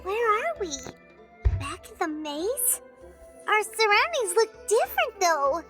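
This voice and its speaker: A woman voicing a small, curious, excitable childlike game companion with a squeaky voice. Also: slow pace, over music, exaggerated voice. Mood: worried, puzzled. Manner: exclaiming, questioning. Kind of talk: video game voice acting.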